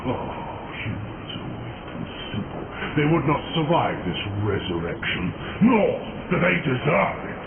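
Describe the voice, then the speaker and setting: A man's voice: mid-range voice, big pitch swings, unhurried, creaky, hardly any pauses, heavy background noise. A man playing a bitter, vengeful fallen warrior turned spirit of corruption in a video game, speaking in a menacing, theatrical, taunting way. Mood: sinister taunting. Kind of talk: video game cutscene acting. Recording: dull game audio, loud background ambience.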